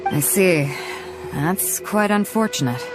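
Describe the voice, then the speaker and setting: A woman's voice: lowish voice, animated, slow, music in the background, poor audio. An actress voicing a bold, teasing, confident female ship captain in a game cutscene. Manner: teasing, self-assured, laughs easily.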